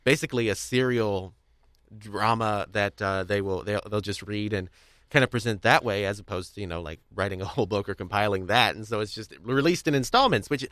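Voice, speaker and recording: A man's voice: on the low side, brisk, a bit bright, no echo, clean studio sound. A man hosting a podcast in casual conversation, speaking in a hesitant, rambling, stop-start way. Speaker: podcast host. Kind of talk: casual discussion of audio drama.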